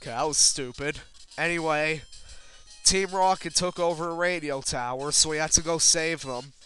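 A man's voice: fairly high pitch, moderate pace, pushed and bright, clean tone. A man giving casual, rambling video game commentary in a self-deprecating way, with casual swearing. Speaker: let's player. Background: video game music.

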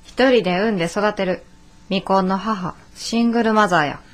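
An actress playing a stubborn, resolute young adult daughter in a radio drama, blunt and matter-of-fact, with a Kansai accent. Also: female voice, medium pitch, moderate pace, close to an everyday voice. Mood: insistent.

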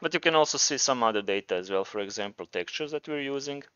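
A man's voice: medium pitch, lively intonation, fast talker, a bit bright, smooth, no echo, dull audio. A man with a Croatian accent presenting a programming tutorial, talking informally and thinking aloud as he demonstrates. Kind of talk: casual screencast tutorial narration.

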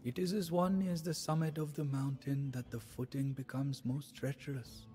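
An actor playing a sorrowful, devout adviser to an Indian emperor, narrating the story in a solemn, measured, theatrical way for a game. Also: male voice, medium pitch, level tone, dark tone, faint music behind, natural voice. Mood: anguished, pleading. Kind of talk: dramatic scripted storytelling narration.

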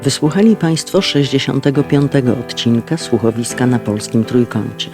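Gravelly male announcer over guitar music. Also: medium pitch, fairly even intonation, normal speed. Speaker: announcer reading credits and sponsors. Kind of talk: scripted announcement. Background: plucked guitar music.

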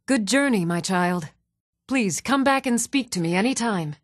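An actress voicing earnest, even-toned townswomen in a fantasy video game, speaking in a measured, clearly enunciated way. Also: female voice, medium pitch, no room sound, clean studio sound, close to an everyday voice. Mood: solemn, kindly. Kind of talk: scripted video game voice acting.

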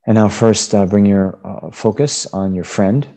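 A man leading a guided meditation as a Buddhist teacher, giving unscripted instructions in halting phrases. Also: lowish voice, mellow.

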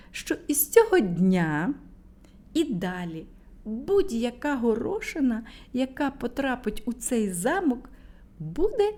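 A woman's voice: on the high side, a little mellow. A woman voicing a delicate, polite young princess in a children's storybook reading. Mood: teasing.

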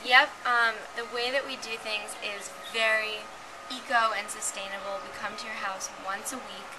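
A woman's voice: a little high, steady tone, normal speed, far from the mic, background noise. A young woman guest chef talking casually and informatively, with lots of fillers, while she cooks. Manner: chatty, informative, many fillers. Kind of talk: casual cooking chat. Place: sunny garden patio, then home kitchen.

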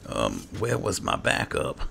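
A man giving roleplay game commentary and reading item text aloud, muttering to himself in an unhurried way. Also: low-pitched, wildly swooping pitch, creaky, background noise. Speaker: gaming video host. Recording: game music behind voice. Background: video game music.

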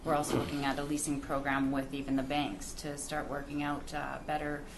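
A woman presenting briskly and upbeat as an applicant at a council public hearing, standing at a podium in a council chamber. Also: sits low, flat delivery. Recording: noisy room recording.

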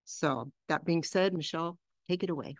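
A woman's voice: deep voice, normal speed, dry recording, clean studio sound. A woman chairing a school board meeting, running through motions and public comment rules in a procedural way with frequent fillers. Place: large boardroom.

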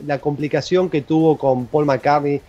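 A man, hosting a live show from a home studio and talking in a halting way with many restarts. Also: fairly high pitch, steady tone, warm tone. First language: Spanish. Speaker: interviewer and show host. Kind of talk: casual chat on a live show. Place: home studio with records on shelves. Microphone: studio mic on boom arm, video call. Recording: dull lo-fi video call audio.

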